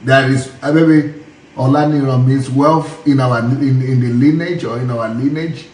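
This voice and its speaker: A curious male host who keeps asking questions and reads out viewer comments in a loose, chatty way. His pitch is ordinary and middling. The sound is echoey and comes from somewhat far from the mic. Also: steady tone, moderate pace, darkish tone. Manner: curious, asks many questions, laughs. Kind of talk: casual interview, reading viewer comments.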